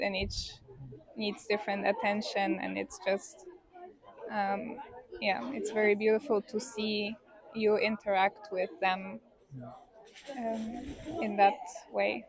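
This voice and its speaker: A woman speaks at a middling pitch. She is in casual, reflective conversation and feels her way through each thought, hesitating as she searches for words. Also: rough recording. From Poland. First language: Polish. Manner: hesitant, thinking aloud.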